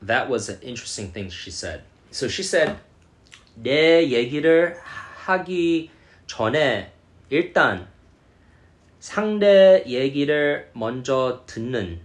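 Halting man sounding out foreign words. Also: medium pitch, animated. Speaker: language learner reacting to a show. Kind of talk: casual commentary.

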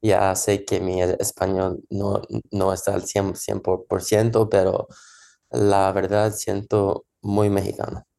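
Stammering man with a gravelly low voice. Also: completely flat delivery, warm tone. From United States. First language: English. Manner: halting, stammers and repeats syllables often. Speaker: guest, professional tennis player. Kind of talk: casual interview answers.

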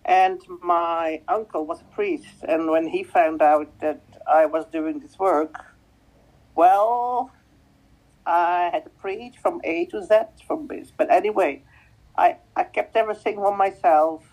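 Belgian woman in her fifties, lower-pitched voice. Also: measured pace, darkish tone, poor audio. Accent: Dutch-speaking Belgian. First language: Dutch. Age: 50s. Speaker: guest, spiritual medium. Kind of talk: conversational interview answers.

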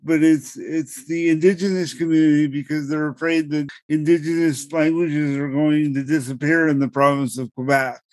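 A middle-aged man on a podcast, explaining legal matters in a measured way and repeating words as he starts each thought. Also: a little high, steady tone, darkish tone, no echo. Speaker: podcast co-host explaining law. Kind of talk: explanatory conversational chat.

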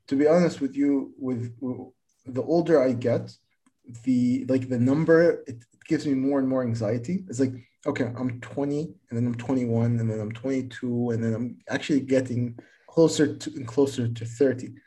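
A man's voice: mid-range voice, steady tone, moderate pace, mellow. A man in his twenties co-hosting a podcast, chatting casually and rambling, often repeating words as he starts sentences. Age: 20s. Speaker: podcast co-host. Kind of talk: casual chat.

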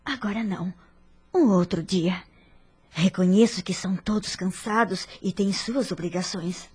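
An actress playing a dignified, forgiving wife and mother in a radio drama, earnest and formal in a measured way. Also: medium pitch, darkish tone, husky. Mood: polite, subdued.

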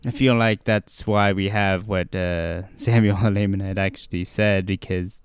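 A man co-hosting a podcast who discusses scripture slowly and hesitantly, pausing often with fillers. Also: deep voice, fairly even intonation, clear, resonant tone, no room sound. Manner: slow, hesitant, many fillers. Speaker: podcast co-host.

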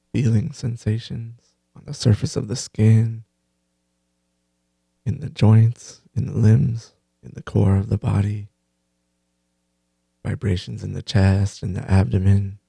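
Very husky, dark-voiced man guiding meditation. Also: fairly low pitch, dry recording. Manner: gentle, unhurried, pausing between short phrases. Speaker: meditation teacher and host. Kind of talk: guided meditation.

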